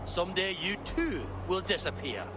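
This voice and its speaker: Defiant, high-pitched warrior leader. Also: male voice, very animated, moderate pace, noisy background. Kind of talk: dramatic video game acting.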